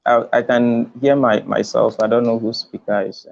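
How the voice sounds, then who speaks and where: A man's voice: medium pitch, level tone, moderate pace, vocal fry, a little mellow. A man with a Ghanaian accent giving an emphatic motivational talk on an online conference call, repeating phrases for weight. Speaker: conference speaker. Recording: dull lo-fi online call audio.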